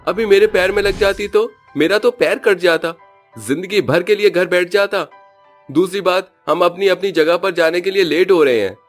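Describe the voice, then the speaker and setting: A man's voice: high voice, normal speed, soft background music, natural voice. A man voicing a jittery young male college student who complains and stammers in panic in a cartoon horror story. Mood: indignant.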